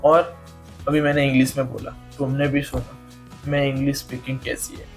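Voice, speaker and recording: A young man with an Indian accent and Hindi as his first language, talking casually to camera, slow and halting in English and quick and chatty in Hindi. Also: mid-range voice, little pitch movement. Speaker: YouTube creator teaching spoken English. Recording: faint guitar music in the background.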